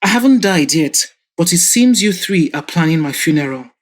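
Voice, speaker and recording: A woman's voice: on the low side, lively intonation, normal speed. A woman voicing a stubborn, irritable older father who grumbles sarcastically and flares into anger. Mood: grumbling.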